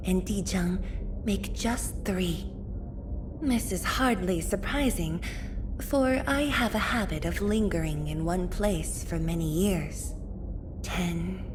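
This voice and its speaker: A woman acts out a gentle scholarly viceroy for a video game, a woman of medium pitch who speaks slowly and formally. She is polite, measured and quietly reflective, with clear ambient noise behind her. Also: natural voice. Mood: wistful, reflective. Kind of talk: scripted video game character voice lines.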